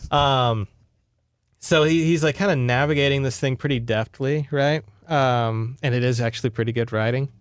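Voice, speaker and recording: A man's voice: medium pitch, moderate pace, smooth, no room sound. Man, American accent, podcast co-host, casual recap chat, wry and sarcastic, clean resonant voice, dry sound.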